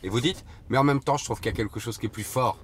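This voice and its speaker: A man hosting an interview from the driver's seat of a taxi, lively, joking and familiar. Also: medium pitch, racing, a little hiss or hum. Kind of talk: casual chat. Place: driving a taxi.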